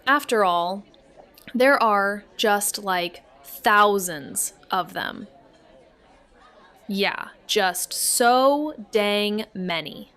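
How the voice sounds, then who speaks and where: An actress playing an awkward, evasive young niece in a naturalistic audio drama, halting and flustered as she talks. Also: mid-range voice, expressive, a bit bright, clean tone, some chatter behind. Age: young adult.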